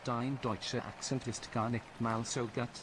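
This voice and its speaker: A male text-to-speech voice reading stream alerts, flat and mechanical. Clear hiss and noise sit behind it in the recording. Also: medium pitch, moderate pace.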